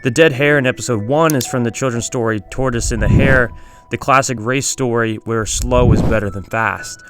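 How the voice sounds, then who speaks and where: A man's voice: mid-range voice, fairly bright tone. A man hosting a video essay, reading scripted narration. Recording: music behind the voice.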